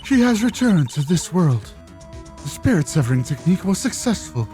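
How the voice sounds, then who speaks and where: Woman playing a solemn, slow-spoken spirit medium lady. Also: fairly low pitch, big pitch swings, dark tone, soft background music. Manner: slow, formal, composed.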